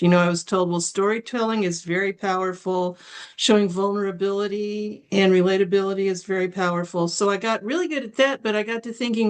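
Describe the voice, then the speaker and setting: A woman in the audience of an online meeting, asking a long, rambling question and correcting herself as she goes. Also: medium pitch. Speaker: audience member. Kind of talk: casual question on a call.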